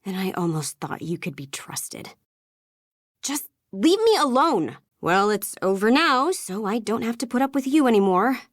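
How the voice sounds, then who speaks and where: A woman's voice: a little high, very animated, measured pace, no room sound, studio quality. A guarded, prickly young woman. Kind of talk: video game voice acting.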